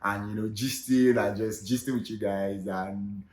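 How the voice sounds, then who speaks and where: A man's voice: fairly low pitch, steady tone, normal speed, a little mellow, reverberant. A young man with a Nigerian Yoruba accent hosting a casual comedic vlog, chatty and full of fillers like "you know". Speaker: vlog host.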